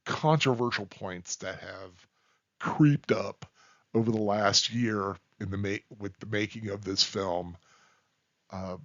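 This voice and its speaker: Male reviewer, slow and halting delivery, off-the-cuff ramble with emphatic stresses, slightly dull recording. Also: on the low side, dry recording. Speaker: film reviewer. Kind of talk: unscripted rambling review to the listener.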